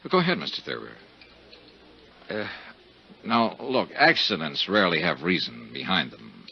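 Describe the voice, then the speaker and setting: An actor playing a shrewd, dogged American investigator who questions and narrates in a clipped way in a 1950s radio detective drama. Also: male voice, sits low, huge pitch swings, moderate pace, creaky, slight background noise, exaggerated voice. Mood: probing, dry. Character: shrewd, dogged male insurance investigator narrating his case. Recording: muffled 1950s radio recording.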